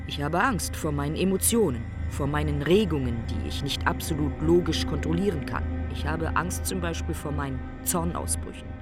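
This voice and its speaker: An actor playing a brooding, philosophical ailing man in a radio play monologue, speaking in deliberate, emphatic short phrases. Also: mid-range voice, expressive, over music, lightly acted. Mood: brooding, reflective.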